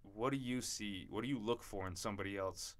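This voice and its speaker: A man's voice: fairly low pitch, fairly quick. A man interviewing an old friend, speaking conversationally and often affirming him. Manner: conversational, frequent affirming backchannels. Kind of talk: casual interview chat.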